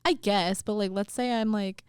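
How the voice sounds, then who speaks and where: A young woman with an American accent talks as a podcast co-host. Her voice sits at a middle pitch and her chat is loose and informal. The studio sound is close and dry. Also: fairly even intonation, normal speed, darkish tone, clean tone, no room sound. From south of Boston, US. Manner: informal, swears casually. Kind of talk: casual chat.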